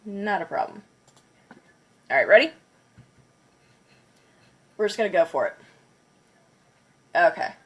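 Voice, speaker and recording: A woman's voice: mid-range voice, animated, slow pace, long pauses, a bit bright, slightly rough. A congested woman chatting casually on a livestream, counting down before smashing plates. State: congested from a cold. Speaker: craft livestreamer.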